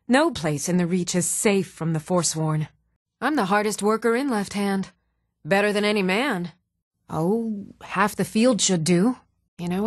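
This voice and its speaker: A woman's voice: mid-range voice, a little mellow, no echo, clean studio sound, lightly acted. A woman as a plain-spoken fantasy village woman. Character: plain-spoken, hardworking village woman, firm motherly townswoman. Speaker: video game voice actor. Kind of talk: scripted fantasy game dialogue.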